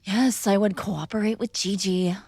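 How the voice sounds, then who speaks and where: A woman's voice: medium pitch, steady tone, normal speed, darkish tone, breathy edge, no echo, studio quality. A young woman performing an audio roleplay as a defiant, sarcastic mob boss's daughter arguing with her father. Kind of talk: scripted ASMR girlfriend roleplay.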